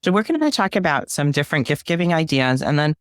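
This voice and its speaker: A woman's voice: deep voice, very animated, quick pace, no echo, studio quality. A woman hosting a personal finance podcast, chatting with a guest in a friendly, conversational way. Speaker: podcast host. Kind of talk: podcast interview.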